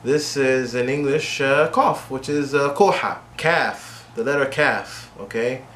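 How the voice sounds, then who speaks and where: A man's voice: mid-range voice, unhurried, fairly bright tone. A man gives an English lesson in a plain middle register, pressing his points and checking in with "okay?" as he goes. His voice bounces around a roomy, echoey space with a faint hum of ambience behind it. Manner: direct, repetitive coaching with frequent "okay?" checks. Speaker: English teacher. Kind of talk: instructional video lesson.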